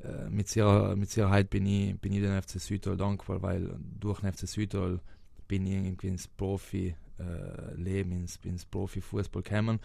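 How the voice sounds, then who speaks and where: A man's voice: low voice, completely flat delivery, moderate pace, creaky, warm tone, no room sound. A young man from South Tyrol with a South Tyrolean German accent, answering interview questions hesitantly with many fillers and restarts. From South Tyrol, Italy. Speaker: interviewed footballer.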